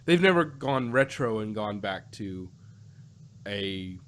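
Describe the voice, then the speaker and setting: A man co-hosting a casual fan discussion, talking in halting phrases with a joking bent. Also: lowish voice, animated, fairly bright tone. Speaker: podcast co-host.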